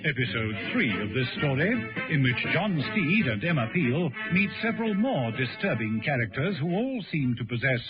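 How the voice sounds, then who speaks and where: A man's voice: fairly high pitch, big pitch swings, normal speed, hardly any pauses, loud music behind the voice. A man giving dramatic scripted narration for a radio serial. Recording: dull old radio recording.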